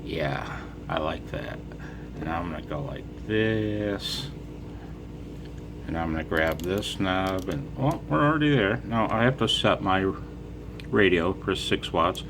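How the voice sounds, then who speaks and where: A man's voice: sits low, animated, measured pace, noisy background. An American man talking off the cuff in a casual vlog as an amateur radio operator, with a wry, self-deprecating manner.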